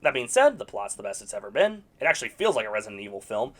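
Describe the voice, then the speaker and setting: Wry man with a lively, swinging voice. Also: medium pitch, racing. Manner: wry and sarcastic, with mock-exasperated outbursts. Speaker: video game movie reviewer. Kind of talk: scripted casual review voice-over.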